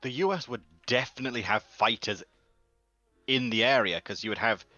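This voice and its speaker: A man's voice: medium pitch, normal speed, bright, forceful tone, no room sound, soft background music. A man in a casual panel discussion, questioning and raising objections, recorded over dull voice-chat audio.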